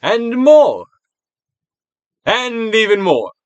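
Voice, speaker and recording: Male stage-actor narrator for a guided self-help reading. Grand, declamatory, very wide melodic range, drawn-out emphasis, recorded dry. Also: sits high, huge pitch swings, clean tone, no room sound. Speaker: audiobook reader, actor. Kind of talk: theatrical dramatic reading of a self-help book.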